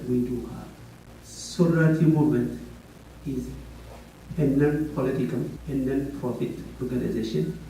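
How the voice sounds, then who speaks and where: A man's voice: mid-range voice, gravelly, dark tone. A man with an Ethiopian accent giving an earnest, rallying public speech, repeating points for stress. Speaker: speaker at a community meeting. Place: large echoey meeting hall. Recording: echoey hall, mic far off, faint hiss.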